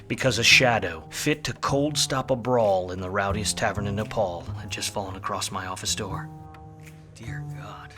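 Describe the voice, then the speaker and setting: A man's voice: on the low side, normal speed, loud music behind the voice, natural voice. An actor playing a world-weary, wisecracking private detective in a film noir radio play, with a wry, slangy tough-guy delivery. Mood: brooding, wry. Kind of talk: film noir radio play, first-person narration and dialogue.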